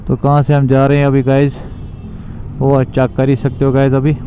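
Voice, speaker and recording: A man's voice: medium pitch, monotone, normal speed, warm tone. A young man vlogging casually while riding a motorbike through city traffic, talking loosely and repetitively to his viewers. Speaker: moto vlogger.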